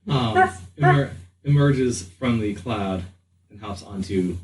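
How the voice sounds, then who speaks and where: A man working as game master, narrating a tabletop game in a halting, improvised way. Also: medium pitch, darkish tone, far from the mic. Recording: echoey room, off mic, background noise.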